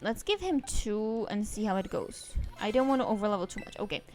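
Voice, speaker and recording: Woman streaming a game, rambly chatty asides, mid pitch, faint game music behind. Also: animated, fairly quick, a little mellow. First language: Czech. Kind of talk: casual let's-play commentary.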